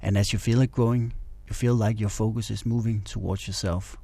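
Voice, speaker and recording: A man narrates a guided meditation in a soft-edged, very mellow voice. His intonation stays flat and soothing as he gives calm instructions. The sound is close and very dry. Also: fairly low pitch, fairly quick, vocal fry, breathy edge, no room sound.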